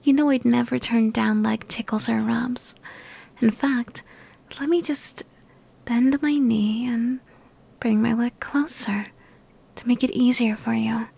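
A young woman whispers slowly and softly, all affection, sounding sick and worn out. Her breathy voice sits a little high and carries a gravelly edge. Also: fairly even intonation. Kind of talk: whispered ASMR girlfriend roleplay.

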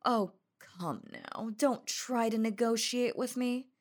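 A woman's voice: on the high side, slow pace. A woman voicing an obsessive, possessive female demon who speaks in a sultry, coaxing way that turns to cold threats. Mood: menacing. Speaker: voice actor. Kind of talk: audio roleplay monologue.

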